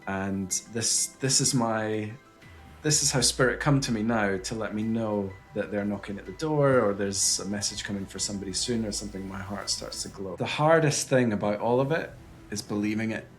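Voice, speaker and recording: Low-voiced Scottish man, earnest and reflective. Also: moderate pace, soft background music. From Scotland. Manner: earnest, reflective, searching for words. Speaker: psychic medium guest. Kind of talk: conversational interview over video call.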